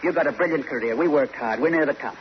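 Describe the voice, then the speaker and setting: Man acting a fast-talking old fight manager. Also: fairly high pitch, lightly acted. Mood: pleading. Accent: American. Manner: urgent, hard-nosed, cajoling. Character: scheming old fight manager, exasperated father figure. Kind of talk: old-time radio crime drama acting. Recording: dull, hissy old radio recording.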